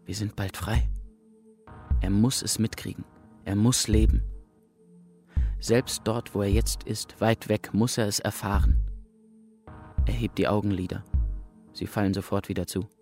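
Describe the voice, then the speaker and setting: An actor playing an exhausted camp prisoner in a radio drama, speaking a terse, stark and urgent first-person monologue. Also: male voice, lowish voice, steady tone, measured pace, creaky, long pauses, darkish tone. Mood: weary, urgent. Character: exhausted male camp prisoner awaiting liberation. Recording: faint music behind the voice.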